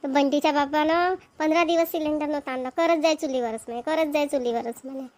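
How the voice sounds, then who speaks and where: A woman's voice: high-pitched, fairly even intonation, fairly quick, natural voice. A woman voicing a harried village mother in a cartoon comedy, chatty and complaining, with a Vidarbha Marathi accent. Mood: grumbling, explaining. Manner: chatty, grumbling, repetitive. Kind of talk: amateur cartoon comedy dialogue.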